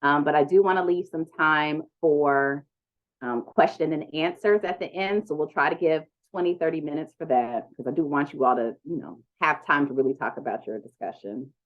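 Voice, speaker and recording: Low-voiced woman moderating a video call. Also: moderate pace. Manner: relaxed, chatty, with many ums. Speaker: panel moderator. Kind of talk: online conference panel introductions. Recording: dull lo-fi video call audio.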